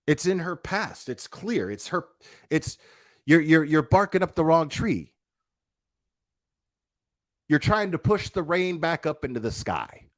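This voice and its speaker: A man's voice: fairly high pitch, big pitch swings, a little slow, long pauses, dry recording, clean studio sound. A man delivering a livestreamed opinion rant in a blunt, profane, confrontational way, repeating himself for emphasis and asking rhetorical questions. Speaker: online commentator, livestreamer.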